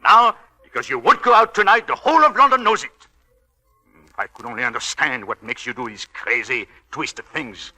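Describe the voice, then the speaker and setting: An actor playing a cold, manipulative Victorian husband in a radio drama, smooth and controlled but accusing. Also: male voice, medium pitch, lively intonation, normal speed, sharp tone, low-quality recording. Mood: agitated, accusing. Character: cold, manipulative Victorian husband, menacing yet falsely solicitous. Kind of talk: 1940s radio drama acting. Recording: old radio recording, faint music behind.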